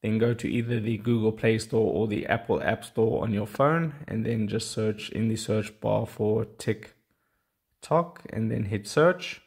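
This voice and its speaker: Slightly husky low-voiced man explaining. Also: a little slow, a little mellow. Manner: plain step-by-step explaining. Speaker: tutorial host. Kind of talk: instructional how-to narration.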